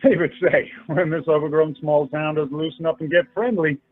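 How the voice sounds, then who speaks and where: An actor playing an earnest, polite young suitor in a radio play, courteous but halting and flustered. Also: male voice, fairly high pitch, steady tone, no echo, natural voice. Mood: sympathetic. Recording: tinny phone line with music behind.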